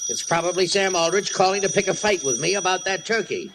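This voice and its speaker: A stubborn middle-aged father, with a high voice. He is huffy, emphatic and self-righteous in an old radio comedy recording with clear background noise. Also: animated, quick pace.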